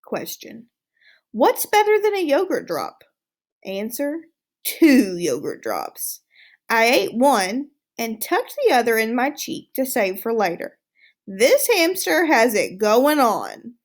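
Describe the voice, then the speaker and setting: Storybook hamster, played by a woman: cocky, easily disgusted, exclamatory, with swooping intonation and slow delivery. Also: a little high, sing-song, heavily acted. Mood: smug, disgusted. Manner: boastful, self-satisfied, exclamatory. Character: smug, excitable, easily disgusted male pet hamster. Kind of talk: storybook read-aloud, diary narration.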